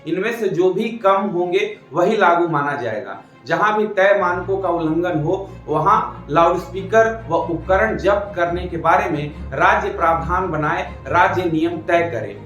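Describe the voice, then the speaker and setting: A man's voice: fairly high pitch, a bit bright. A man reading scripted news commentary in a formal, emphatic way, repeating phrases for stress. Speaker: presenter. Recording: roomy echo with music behind. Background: background music.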